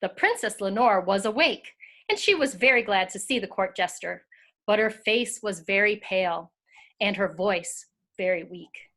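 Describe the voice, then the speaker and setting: A woman's voice: medium pitch, expressive, normal speed, a bit bright. A woman narrating a storybook tale as part of a scripted readers theater performance.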